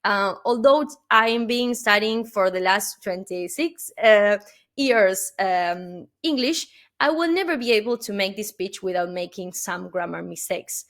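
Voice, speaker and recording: A woman's voice: mid-range voice, unhurried, fairly bright tone. A woman from Argentina, a native Spanish speaker talking in English with an Argentine accent, giving an earnest webinar keynote full of "uh" fillers and questioning "no?" tags.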